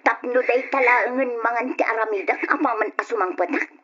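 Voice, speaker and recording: Harsh, husky scolding aunt. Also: female voice, on the high side, fairly quick. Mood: angry scolding. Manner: sharp, snapping orders. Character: harsh, scolding gambling aunt bullying a child. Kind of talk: old-style radio drama acting. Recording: muffled old radio drama recording.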